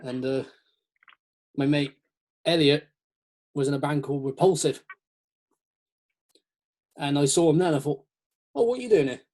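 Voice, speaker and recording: A man's voice: fairly high pitch, normal speed, pauses often, darkish tone. A man in a casual, rambling, jokey chat, acting out little quotes as he goes. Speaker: host of a metal chat show.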